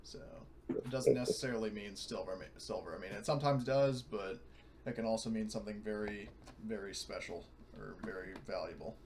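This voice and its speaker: A man's voice: fairly low pitch, brisk. A man talking casually as a player in a tabletop roleplaying game. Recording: clear background noise.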